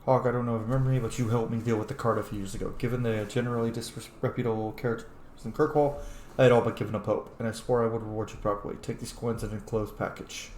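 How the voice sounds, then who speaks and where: A man's voice: medium pitch, little pitch movement, very fast, a little mellow. A man with a mid-range voice plays a fantasy game aloud, casual and informal, roleplaying and reading text out. The room is echoey, with faint noise behind him. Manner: sweary, informal. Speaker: game streamer roleplaying as his character. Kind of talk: casual let's play commentary, reading in-game letters aloud.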